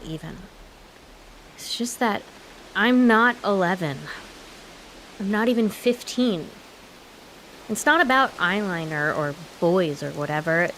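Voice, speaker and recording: A woman's voice: mid-range voice, fairly bright tone, a little hiss or hum. A young woman playing a strong-willed, frustrated grown daughter in a naturalistic comedic radio play, emphatic and argumentative. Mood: earnest, confessional.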